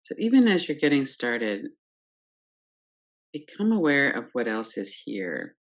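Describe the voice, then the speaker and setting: A woman teaching guided meditation, speaking calmly and gently in short phrases with pauses between them. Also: rumbling low. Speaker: yoga nidra meditation teacher. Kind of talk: guided meditation, unscripted instruction.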